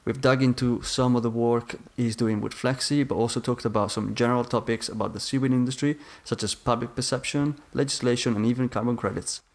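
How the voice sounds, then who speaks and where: A man's voice: mid-range voice, steady tone, fairly quick, few pauses. A man hosting a podcast, reading a scripted intro and then asking interview questions, at times stumbling over his words. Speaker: podcast host.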